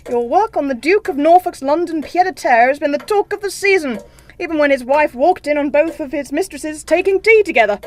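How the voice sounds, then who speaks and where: Woman playing a high-voiced pompous gentleman boss. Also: lively intonation, a little fast. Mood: brisk, pompous. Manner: formal, pompous, theatrical. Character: genteel, pompous male office boss, Mister Markby. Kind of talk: comic gothic audio drama acting.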